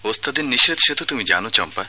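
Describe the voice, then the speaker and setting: A man's voice: mid-range voice, expressive, very fast, pushed and bright. A Bangladeshi man playing an earnest, tormented young music disciple, solemn and imploring, in theatrical radio drama.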